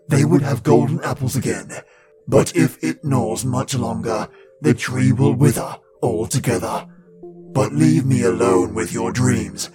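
A man voicing a gruff, irritable devil in a storybook reading, grumbling with emphatic delivery. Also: mid-range voice, voice pushed down, close to an everyday voice. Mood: angry, irritated. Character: gruff, suspicious, irritable devil, easily angered.

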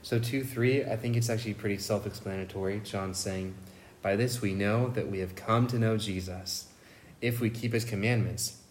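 Guy with a lowish voice talking through bible verses on a podcast, eager, restarts a lot, bit of hiss behind. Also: fairly even intonation. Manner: thoughtful, enthusiastic, pauses to restart phrases. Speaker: podcast co-host. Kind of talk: casual Bible study discussion.

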